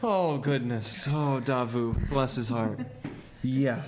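A man's voice: medium pitch, slow, background noise, close to an everyday voice. A man doing a fretful elf mine chief. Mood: worried. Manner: fretful, pleading, rambling. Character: worried short male elf mine chief. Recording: echoey room.

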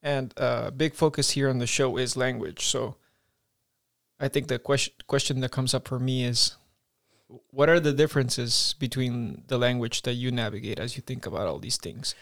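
A male podcast host asks his guest long, thoughtful questions that wind their way to the point. His voice is gravelly and sits at a middle pitch. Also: fairly even intonation, moderate pace, a bit bright. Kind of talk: interview questions.

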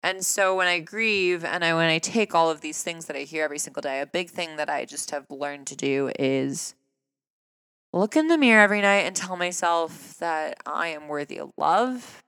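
A man speaking earnestly and conversationally in a personal reflective talk, his voice fairly high for a man, often asking rhetorical questions. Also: lively intonation, normal speed, gravelly, pushed and bright, smooth, dry recording, clean studio sound, in a large hall. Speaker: vlogger.

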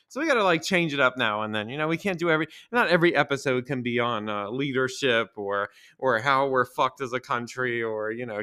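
Host, male, 30s, stuffy with a head cold, crude rambling humor, mid-pitched. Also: bright, forceful tone, smooth. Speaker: podcast host. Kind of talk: casual solo podcast monologue.

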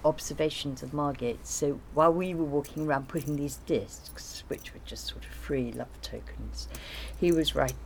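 A woman's voice: low-pitched, normal speed, few pauses, slight background noise, low-quality recording. A woman in informal conversation, explaining and recollecting in a rambling way. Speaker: artist being interviewed.

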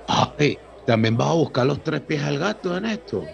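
A blunt, teasing male friend in a radio soap, played by a man. His voice is heavily gravelled and creaky, and the recording is dull. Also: a little high, animated, moderate pace, some chatter behind. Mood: teasing, exasperated. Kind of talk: Spanish-language radio soap acting.

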